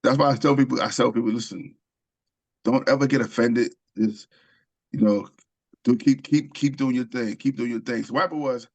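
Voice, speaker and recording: A man from Queens, New York, tells a long story as a guest, stopping and restarting with plenty of ums. His voice sits at a middling pitch. The sound is a little dull and lo-fi. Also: steady tone, moderate pace, a little mellow. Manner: rambling, many ums and restarts. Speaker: author and screenwriter guest. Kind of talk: casual interview storytelling.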